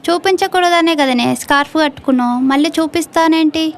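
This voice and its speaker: A woman's voice: very high-pitched, fast talker. A woman playing a young college girl who playfully mocks her friend in a comedy audio drama. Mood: teasing. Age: young adult. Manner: mocking, playful. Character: nosy, teasing female college friend.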